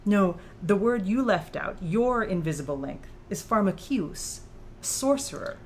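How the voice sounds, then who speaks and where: A woman voices a female author in an audiobook dialogue, probing and analytical. She speaks at a middling pitch in pointed, articulate sentences. There is some noise behind the recording. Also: lively intonation, unhurried. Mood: lecturing. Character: sharp, probing female author challenging her own creator.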